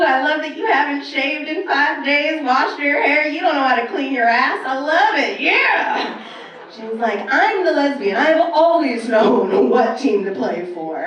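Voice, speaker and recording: A woman's voice: sits high, a bit bright. A woman in her thirties performing a brash, casual stand-up set on a club stage, telling stories animatedly and acting out exchanges, with some swearing. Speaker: stand-up comedian. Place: live comedy club stage. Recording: roomy echoing club sound, distant.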